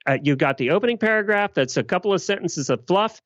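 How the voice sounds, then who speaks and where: Male podcast guest, emphatic, animated intonation, dry close sound, conversational. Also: sits high, fast talker, no echo, lo-fi sound. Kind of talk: conversational interview answers.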